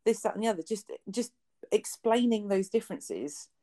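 A woman of middle pitch co-hosting a casual podcast chat. She is friendly, laughs often and asks her guest easy questions. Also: lively intonation, moderate pace, dark tone. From England (Kent). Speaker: podcast co-host.

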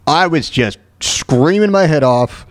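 A man with a high voice and very lively intonation hosts a solo podcast. He is blunt and emphatic, circling back over his phrases to drive them home. The sound is close and dry. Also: takes it slowly, no echo. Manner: blunt, profane. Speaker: podcast host.

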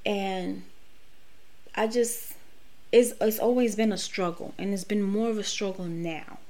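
Podcast host, woman, late 30s, frank and emphatic, swears freely, mid-pitched voice. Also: steady tone, a little slow, dark tone. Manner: candid, profane, emphatic. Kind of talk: personal monologue.

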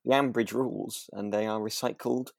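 A man's voice: lowish voice, fairly even intonation, moderate pace, creaky, darkish tone, no echo, clean studio sound. A British man co-hosting a podcast in casual chat, rambling and good-humoured, with hesitant phrasing. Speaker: podcast host.

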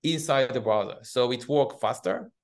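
Man, Israeli accent, mid-pitched, hesitant phrasing, explanatory interview answers. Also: moderate pace. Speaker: guest, cybersecurity company CEO.

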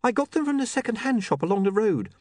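Storybook young woman colleague male reader: high-voiced, proper, nervy, politely hesitant. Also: huge pitch swings, a little fast, a little mellow, no echo, clean studio sound, heavily acted. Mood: nervous. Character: nervous, prim young woman office colleague.

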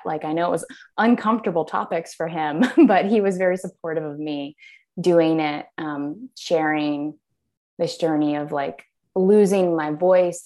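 A woman with a somewhat low voice shares her story on a podcast. She talks in a halting way, often stopping and starting again with fillers. She laughs softly at herself now and then. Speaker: podcast guest. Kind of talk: candid personal storytelling.

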